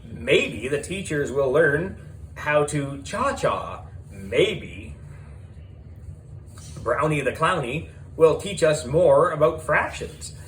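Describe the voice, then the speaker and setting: A man reads a children's story as its schoolboy narrator, with a fairly high, very lively voice. He takes his time with dramatic pauses, and faint noise sits behind him. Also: low-quality recording. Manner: animated, deliberate, dramatic pauses. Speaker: audiobook narrator.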